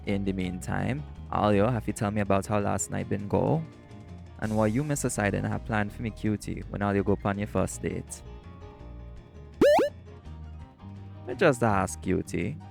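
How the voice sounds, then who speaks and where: Male actor as teasing shapeshifter boyfriend for audio roleplay, Caribbean accent, lowish creaky voice, emphatic and encouraging. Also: normal speed, faint music behind. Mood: excited, playful. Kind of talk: romantic audio roleplay acting.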